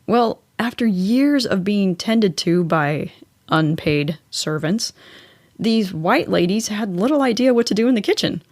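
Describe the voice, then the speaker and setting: A woman's voice: mid-range voice, animated, measured pace, a little mellow, no room sound, clean studio sound. An American woman hosting a solo podcast, narrating in a chatty, scripted style with wry, informal asides. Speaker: podcast host.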